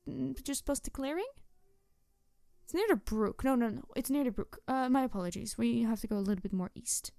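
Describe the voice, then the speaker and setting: Woman playing a high-voiced playful tanuki trickster girl. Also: vocal fry, dry recording, clean studio sound, full character voice. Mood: flustered, apologetic. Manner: teasing, excitable, rambling with hesitations and apologies. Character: playful, mischievous young-sounding tanuki trickster spirit girl, over two hundred. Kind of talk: roleplay audio drama addressed to the listener.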